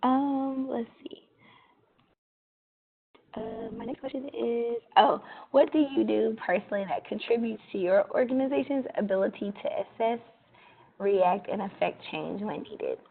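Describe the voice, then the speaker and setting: Slow-paced woman interviewer on tinny phone. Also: on the high side, animated, vocal fry, breathy edge. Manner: informal, agreeable backchannelling. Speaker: research interviewer. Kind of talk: casual interview, conversational. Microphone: phone line. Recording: tinny narrow-band phone line.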